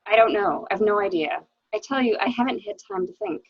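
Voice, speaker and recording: A woman playing a bitter, expressive cornered wife. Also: mid-range voice, fairly quick, breathy edge, dry recording. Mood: defensive, despairing. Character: resigned, bitter wife caught beside her murdered husband. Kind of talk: dramatic reading of a murder mystery. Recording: dull, lo-fi audio.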